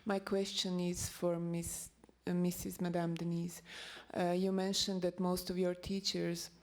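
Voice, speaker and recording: A woman from Croatia asking a panel a question in a halting, formal way. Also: fairly low pitch, level tone. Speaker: audience member asking a question.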